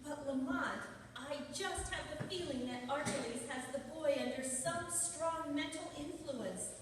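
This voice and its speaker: A woman's voice: high voice, a little slow. A woman playing a detective's earnest, anxious companion in old-time radio drama style. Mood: earnest, worried. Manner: earnest, inquisitive, emphatic. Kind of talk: 1940s radio drama acting. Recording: echoey hall, far off, noisy.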